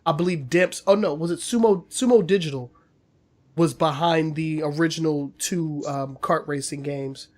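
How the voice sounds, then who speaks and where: A man's voice: on the high side, normal speed, a little mellow. Chatty man with a cold-stuffed voice. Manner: loose, chatty, many fillers. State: nasal from a cold. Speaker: podcast co-host. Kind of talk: casual gaming news podcast.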